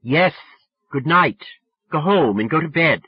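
A man's voice: high voice, fairly even intonation, measured pace, highs cut off, voice pushed up. A man voicing an exasperated young woman in an audiobook, curt and weary as she scolds and brushes off a drunk caller. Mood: weary, dismissive.

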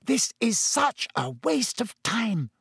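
A man's voice: high voice, big pitch swings, normal speed, slightly rough, no room sound, studio quality, voice pushed up, heavily acted. A man voicing a clever, impatient teenage schoolgirl who speaks sharply and with exasperation. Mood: exasperated.

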